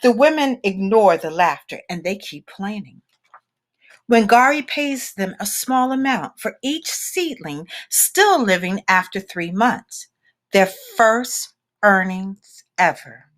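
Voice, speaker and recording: A woman reading a children's picture book aloud in a deliberate, expressive storytelling manner with dramatic pauses. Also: medium pitch.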